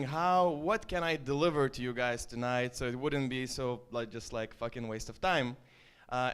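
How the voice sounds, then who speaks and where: A man with an Estonian accent presenting his startup at an event. His voice sits at a middling pitch and comes across smooth and clear. He speaks loosely and jokes with the crowd, filling pauses with plenty of uhs. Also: fairly bright tone. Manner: loose, joking, casual with filler ums and uhs. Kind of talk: conference stage talk.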